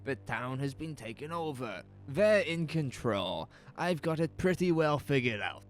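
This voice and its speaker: A man's voice: mid-range voice, lively intonation, measured pace, natural voice. An actor playing a frantic, paranoid father urgently ordering his family around in a horror audio drama. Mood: feverish, intense. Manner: urgent, forceful, insistent.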